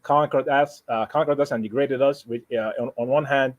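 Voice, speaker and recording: Sudanese guy w arabic accent chatting casually, mid pitch, keeps restarting and saying you know, dry close sound. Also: fairly even intonation, no echo, lo-fi sound. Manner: halting, repeats and rephrases, frequent "you know". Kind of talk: casual conversation, explaining personal plans.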